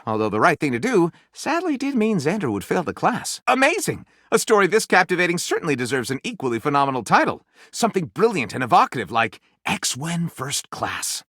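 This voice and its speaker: A man's voice: medium pitch, pushed and bright, no echo, studio quality. An actor playing a flamboyant, grandiose male storyteller in a video game, delivering dramatic storybook narration and wry asides with pompous relish. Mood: wry, excited. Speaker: voiced video game character.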